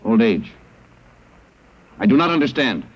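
A man's voice: medium pitch, big pitch swings, measured pace, low-quality recording. A man playing a coolly logical alien zookeeper in a classic radio drama, speaking formally and literally. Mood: puzzled, literal. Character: emotionless alien zookeeper, seven thousand years old yet young.

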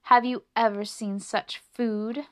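A woman voicing a grand, excitable, bossy female city mouse in a children's storybook, full of exclamations. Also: fairly high pitch, slow. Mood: breezy, coaxing.

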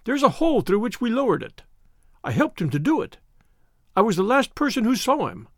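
A cowering little man cries out in a sharp, querulous voice that leaps high and swoops wildly, as a man puts him on. Fear makes every word a plea. The sound is dry and close, with a gravelly edge. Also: very animated, a little fast, dry recording, exaggerated voice. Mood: frantic pleading. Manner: shrill, pleading, near hysterical.

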